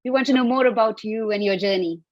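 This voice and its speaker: High-voiced woman hosting a video call. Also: dry recording, dull audio. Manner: measured, with frequent uh fillers. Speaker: host. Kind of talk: interview hosting.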